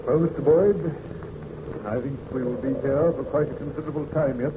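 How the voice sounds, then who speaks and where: An actor playing a steady, courteous ship captain in an old-time radio drama, speaking in a formal, measured, authoritative manner. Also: male voice, on the high side, lightly acted. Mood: concerned, resolute. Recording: dull, very noisy old radio recording.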